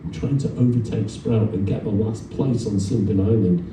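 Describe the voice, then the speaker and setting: Brazen schoolboy troublemaker played by a man, eager storybook read, mellow tone, distant mic. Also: fairly low pitch, steady tone, moderate pace, natural voice. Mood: determined. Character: cheeky, determined schoolboy troublemaker in a children's story. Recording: roomy distant event recording, faint noise.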